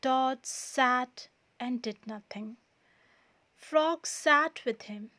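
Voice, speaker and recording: A woman narrating a children's storybook aloud in a deliberate, expressive storytelling manner. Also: high voice. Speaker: storybook reader.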